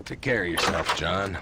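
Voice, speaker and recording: An actor playing a grave, tired frontier marshal, terse and somber, with an American accent in a western video game. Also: male voice, deep voice, very noisy. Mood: resigned.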